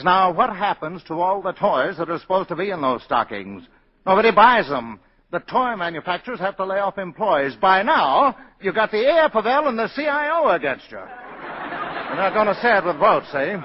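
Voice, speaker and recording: An actor playing a shrewd, wisecracking political adviser in a 1940s radio drama, slangy, wry and persuasive. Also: male voice, sits high, big pitch swings, normal speed, breathy edge, heavily acted. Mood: sardonic persuading. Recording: muffled vintage 1940s radio broadcast.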